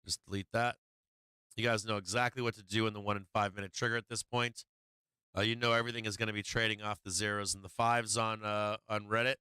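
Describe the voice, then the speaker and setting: A man's voice: on the low side, level tone, quick pace, pushed and bright, smooth, no echo. A man hosting a stock market livestream, talking casually and profanely through charts with many fillers while he is losing his voice.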